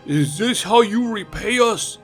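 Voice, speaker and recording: A man's voice: high voice, expressive, a little slow, a bit bright, faint music behind, voice pushed up. A man voicing a huge horned bull-man warrior, noble and anguished, with impassioned, emphatic delivery in a fantasy story. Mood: pleading.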